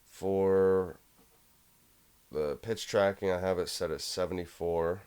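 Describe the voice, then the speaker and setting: A young man with a low voice casually walking through a home music production tutorial, rambling a bit with fillers. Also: steady tone, slow, a little mellow, clear, resonant tone. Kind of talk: casual screen-recorded tutorial.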